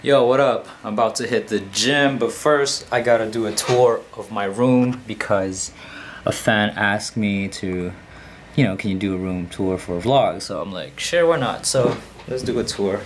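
A man's voice: lowish voice, normal speed, a little hiss or hum. Laid-back young man vlogging at home. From Canada. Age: 20s. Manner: laid-back, slangy, talks straight to viewers. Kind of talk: casual vlog chat to camera. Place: small home room, indoors.

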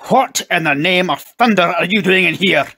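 A man's voice: high voice, normal speed, creaky, pushed and bright, slightly rough, pitched above the performer's own voice, full character voice. A man playing a furious, pompous middle-aged upper-class father in a storybook, bellowing imperiously. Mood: furious, stern.

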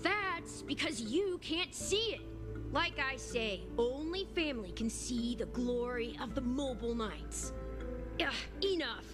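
A woman's voice: sits high, lively intonation, a little slow. A woman voicing a bossy, boastful young boy guard in a video game, imperious and self-important. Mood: indignant, impatient. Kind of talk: scripted video game character acting. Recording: game music behind the voice.

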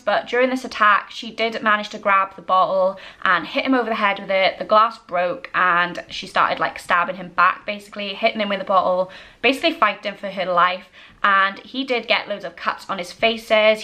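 A woman's voice: mid-range voice, pushed and bright. A woman in her twenties hosting a true-crime vlog, telling the story to camera in a conversational, matter-of-fact way. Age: 20s. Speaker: host. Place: small indoor home room.

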